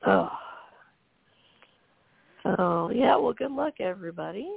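A woman's voice: mid-range voice, animated, slow pace, no echo. A woman hosting a casual call-in radio chat, losing her voice, chatty and laughing often. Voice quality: gravelly, husky. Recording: tinny dry phone line.

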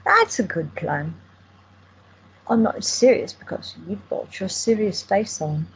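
A woman's voice: mid-range voice, lively intonation, unhurried, slight background noise, lightly acted, low-quality recording. A woman playing a teasing, affectionate young woman in an audio drama. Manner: playful, teasing.

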